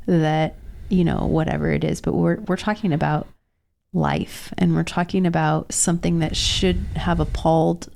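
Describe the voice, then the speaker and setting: A woman's voice: low voice, gravelly, darkish tone, a little hiss or hum. A woman in her thirties co-hosting a podcast, sounding a little nasal from a cold, speaking earnestly and reflectively. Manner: earnest, reflective, slow in places. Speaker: podcast co-host. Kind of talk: casual podcast conversation.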